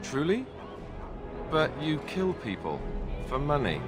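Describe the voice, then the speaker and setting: An actor playing an earnest, easily flustered young warrior in a video game, hesitant and wry, with game music behind him. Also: male voice, a little high, huge pitch swings, takes it slowly, exaggerated voice. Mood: incredulous, flustered. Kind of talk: video game companion banter.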